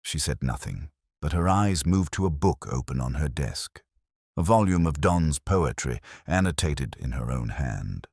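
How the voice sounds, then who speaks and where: A man reading scripted first-person mystery narration in a measured, literary, brooding manner. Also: a bass voice, animated, creaky, a little mellow, breathy edge, dry recording, studio quality.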